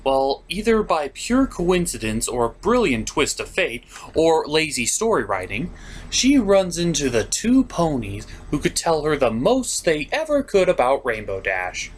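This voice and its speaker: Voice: medium pitch, big pitch swings, unhurried, slight background noise. A comedic review host who recaps and rants in a sarcastic, exasperated way with theatrical emphasis. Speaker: host of a cartoon review show.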